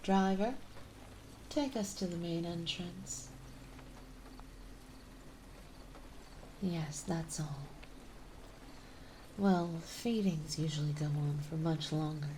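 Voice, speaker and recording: A woman playing a gentle, dominant vampire mistress in an intimate ASMR roleplay, soft and breathy, often whispering, with a refined, coaxing manner. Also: on the low side, slight background noise, low-quality recording. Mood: soothing, teasing. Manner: slow, coaxing, refined and reassuring.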